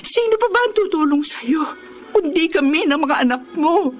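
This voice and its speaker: An actress with a Filipino accent playing a devoted, anguished wife in a radio drama, pleading and emotional. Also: female voice, high-pitched, animated, normal speed. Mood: reassuring. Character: devoted, anguished wife caring for her suffering husband. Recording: dramatic music behind the voice.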